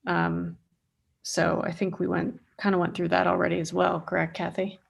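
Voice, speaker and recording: A woman chairing a meeting over a video call in informal procedural talk, with a halting, conversational manner. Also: fairly low pitch, level tone, creaky, husky. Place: remote video conference call.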